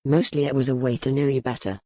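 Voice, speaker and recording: A man acting a measured, war-weary medieval duke. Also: mid-range voice, level tone, darkish tone, no echo, clean studio sound, close to an everyday voice. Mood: reflective, weary. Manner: measured, formal speech. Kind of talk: historical romance audiobook dialogue.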